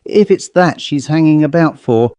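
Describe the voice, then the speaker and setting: Man voicing a deferential, guarded servant, mellow. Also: on the high side, expressive, clean tone, no echo, close to an everyday voice. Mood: deferential, uneasy. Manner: deferential, measured. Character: deferential, guarded male servant, secretive and polite. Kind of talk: audiobook character voice.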